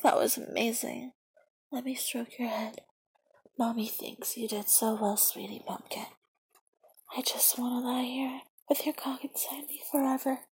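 A young woman voicing a doting, possessive mother figure in a whispered adult audio roleplay, speaking softly and breathily close to the mic. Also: a little high, steady tone, unhurried, slight background noise, low-quality recording. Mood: tender whisper. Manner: soft, intimate, often whispering. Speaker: voice performer.

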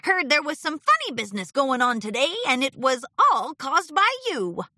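A woman's voice: high voice, sing-song, moderate pace, pushed and bright, dry recording, studio quality, voice pushed up, heavily acted. A woman voicing an aggrieved male pony businessman, smug and insistent in his grumbling.

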